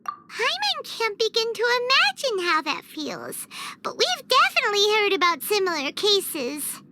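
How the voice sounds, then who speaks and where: A woman voicing a chirpy little female fairy companion in a game, talking in an eager, animated way. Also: extremely high pitch, unhurried, noisy background, heavily acted. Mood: curious. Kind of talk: game cutscene voice acting.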